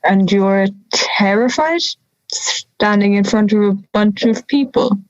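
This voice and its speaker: A woman's voice: medium pitch, takes it slowly, no echo, muffled recording, natural voice. A young woman playing a touchy, wry, harmless talking wolf in an audio drama, indignant and teasing. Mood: puzzled, teasing.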